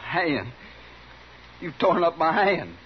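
Casting: whining, spiteful Western card dealer, high-pitched and swooping, old radio drama. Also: male voice, sing-song, slow, a crowd in the background. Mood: agonised. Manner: aggrieved, whining, blustering. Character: whiny, vengeful male card dealer with a wounded hand. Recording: dull, faintly noisy 1950s radio recording.

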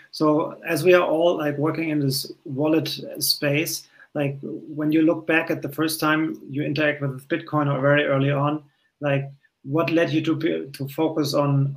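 A man's voice: on the high side, level tone, moderate pace, poor audio. A man moderating a panel over a video call, with a hesitant way of talking, restarting and repeating words. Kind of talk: hosting a panel discussion. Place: home room, in doors.

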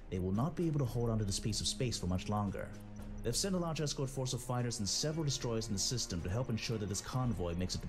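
A man's voice: fairly low pitch, lightly acted. A man playing a stern, formal military officer delivering a mission briefing in a space game. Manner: formal, authoritative.

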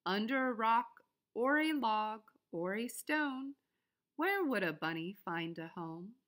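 A woman reading a children's storybook aloud, with expressive, deliberate, sing-song phrasing. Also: on the high side. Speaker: storybook reader.

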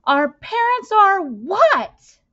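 An impatient, defensive schoolboy from a storybook, read by a woman, blurts his words with emphasis. The voice lifts higher and louder, and the sound is slightly dull. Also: sing-song, very slow, heavily acted. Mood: upset. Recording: dull, lo-fi webcam-style sound.

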